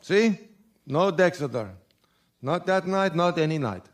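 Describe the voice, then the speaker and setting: A shifty manager of a run-down motel in a radio mystery. His voice sits a bit high for a man, and he answers grudgingly, sardonic and evasive. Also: lively intonation, slow pace.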